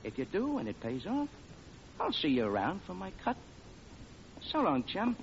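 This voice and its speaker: An actor playing a sly, slangy racetrack tout, wheedling in an old radio comedy. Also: male voice, high voice, huge pitch swings, normal speed, gravelly, full character voice. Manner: conspiratorial, wheedling. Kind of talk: 1940s radio comedy acting. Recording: muffled old radio recording with noise.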